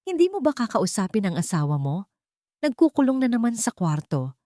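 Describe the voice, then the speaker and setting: A woman's voice: a little high, big pitch swings, moderate pace, mellow, no echo, studio quality, voice pushed up. Woman playing a haughty, irritated mother-in-law. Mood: irritated, scornful. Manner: scornful, demanding questions.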